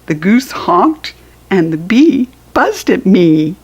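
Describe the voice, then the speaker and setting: A woman's voice: mid-range voice, very animated, takes it slowly, breathy edge, lo-fi sound. An American woman reading a picture book aloud to young children, with simple, instructive asides. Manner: acts out animal sounds while reading.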